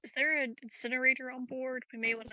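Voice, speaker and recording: Very bright-voiced woman player. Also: high-pitched, little pitch movement, quick pace, no echo, dull audio. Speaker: player in a tabletop roleplaying game. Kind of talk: casual game chat.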